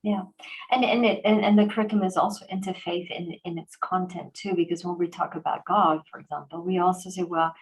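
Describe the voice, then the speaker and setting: A woman host in a relaxed conversation, telling stories animatedly with quick fillers and restarts. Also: on the low side, low-quality recording. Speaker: organization president and host. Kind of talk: conversational interview.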